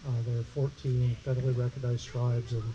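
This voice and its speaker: A man who is a state parks district superintendent, speaking on a panel in a measured, personal way into a handheld mic. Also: flat delivery, warm tone, highs cut off, slight background noise. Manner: says he has a low voice. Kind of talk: panel remarks at a public meeting. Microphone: handheld microphone.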